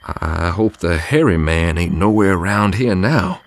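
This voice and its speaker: A man's voice: low voice, expressive, a little slow. A man voicing a clever young boy in a radio drama reading. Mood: uneasy. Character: young boy, smart and obedient.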